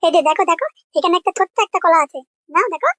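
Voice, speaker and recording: A man voicing a cheeky, mischievous little boy pupil in a comedy cartoon, with a pitched-up voice. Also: animated, rapid-fire, fairly bright tone, dry recording. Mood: sly, eager. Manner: questioning, coaxing.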